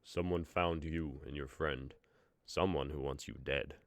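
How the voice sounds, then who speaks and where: Dova, a cautious and practical male fighter, read by a man with a low voice and dry, close sound. He speaks plainly. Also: fairly even intonation, measured pace, dry recording.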